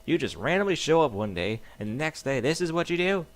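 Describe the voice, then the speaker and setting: A man's voice: a little high, big pitch swings, fairly quick, pitched above the performer's own voice. A man voicing an enraged female farm pony who screams accusations. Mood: accusing. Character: furious female farm pony, turned violent.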